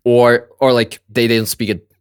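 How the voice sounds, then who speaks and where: A man's voice: mid-range voice, level tone, moderate pace, clean tone, no room sound, clean studio sound. A man in his twenties, a Russian native speaker from Kazakhstan, talking casually as a guest and often repeating words while he searches for them. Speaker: music producer guest. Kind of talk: casual conversation.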